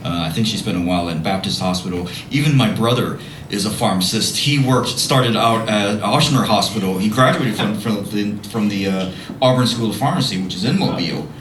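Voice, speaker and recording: A man's voice: lowish voice, brisk, a bit bright, slight background noise. A man from New Orleans moderating a panel conversation, talking with frequent hesitations and fillers. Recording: echoey hall, mic from a distance.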